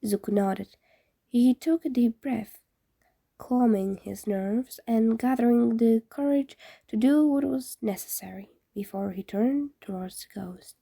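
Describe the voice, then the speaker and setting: A woman's voice: on the high side, unhurried, gravelly, dark tone, husky, dry recording. A woman reading fan fiction aloud as a scripted story narration.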